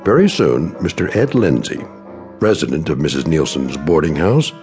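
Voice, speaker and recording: A man's voice: extremely low pitch, very animated, a little mellow, faint music behind. A man performing as a grave, portentous storyteller narrating an eerie radio anthology. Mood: ominous, knowing. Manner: measured, portentous. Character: grave, ominous male storyteller of eerie tales.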